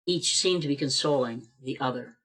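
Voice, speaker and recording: A man reads the narration of an amateur radio play over a video call, in a steady storytelling manner. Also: mid-range voice, moderate pace.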